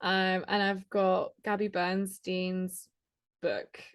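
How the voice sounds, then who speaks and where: A woman's voice: mid-range voice, little pitch movement, smooth. Woman, podcast guest, chatty and casual, mid-range voice. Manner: chatty, lots of "like", quick laughs. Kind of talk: casual conversational interview.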